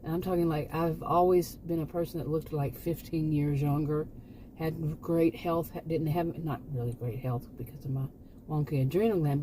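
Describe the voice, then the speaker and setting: Mellow-voiced woman in her late fifties. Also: fairly low pitch, a little hiss or hum, rough recording. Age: 50s. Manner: rambling, chatty, with frequent restarts and asides. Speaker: vlogger. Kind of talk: casual chat to camera.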